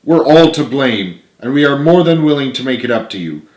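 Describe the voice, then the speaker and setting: A man's voice: mid-range voice, lively intonation, fairly quick, darkish tone, natural voice. Guy doing a supportive sorry superhero teammate, earnest, storybook, echoey. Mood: remorseful. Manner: earnest, reassuring. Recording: roomy, echoey home recording.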